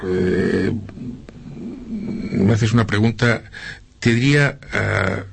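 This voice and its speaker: A man's voice: fairly low pitch, slow, creaky, husky. A middle-aged man from Spain with a slight Argentine lilt, answering interview questions earnestly with many hesitations and repeats. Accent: Spanish, with a slight Argentine lilt. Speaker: local politician interviewee. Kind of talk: interview conversation.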